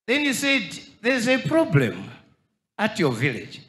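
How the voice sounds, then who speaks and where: A middle-aged man from Zambia, speaking into a handheld microphone and slowly and earnestly telling his story in a church. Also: high voice, wildly swooping pitch, a bit bright, echoey room. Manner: earnest, slow testimony. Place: large church hall with crowd. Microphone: handheld mic.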